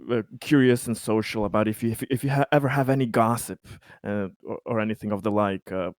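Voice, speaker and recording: A man playing a tabletop roleplaying game, improvising in character and out, with halting, stammering delivery. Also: fairly low pitch, fairly even intonation, no room sound, studio quality.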